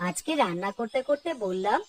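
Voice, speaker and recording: A woman's voice: medium pitch, expressive, a little fast, slight background noise, close to an everyday voice. A woman voicing an older, homely mother-in-law in a children's cartoon, complaining and instructing her family. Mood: aggrieved. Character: older mother-in-law, nagging housewife, aggrieved but indulgent. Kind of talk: Bengali children's cartoon dialogue.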